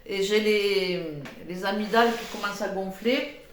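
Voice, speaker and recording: An older woman with a head cold, chatting casually in a cooking vlog, rambling with many pauses and fillers. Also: mid-range voice, reverberant, slight background noise. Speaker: cooking vlogger.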